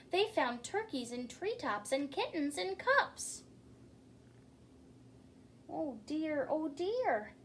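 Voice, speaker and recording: A woman reads a children's story aloud as a storytime host, theatrical and addressing her listeners directly. Her voice is high and swoops expressively, and she takes her time, with faint noise behind her. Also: fairly bright tone.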